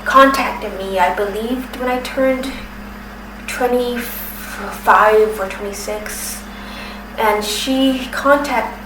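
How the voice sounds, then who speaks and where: Slow-speaking woman who stutters. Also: a little high. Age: 30s. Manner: stutters, with repeated sounds and restarts; slow, careful, with pauses. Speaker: documentary submitter. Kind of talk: personal testimony. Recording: roomy, distant from the mic, noisy background.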